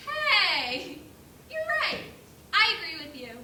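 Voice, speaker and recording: A woman's voice: very high-pitched, very animated, slow, pushed and bright, heavily acted. A female amateur actor playing a clueless, easily swayed pig juror on stage, naive and blunt. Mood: agreeable. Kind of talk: amateur school stage play. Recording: echoey noisy room, distant mic.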